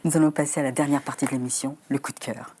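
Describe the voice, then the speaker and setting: A woman leads a televised book interview as host. Her voice is notably deep for a woman. She asks measured questions, sometimes searching for the word. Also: fairly even intonation, darkish tone, soft background music. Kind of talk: TV studio interview.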